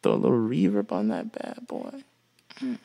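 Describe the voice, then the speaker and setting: A man with a deep voice teaching music production in a relaxed, folksy way, with many "uh" fillers. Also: normal speed, gravelly. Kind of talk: casual software tutorial.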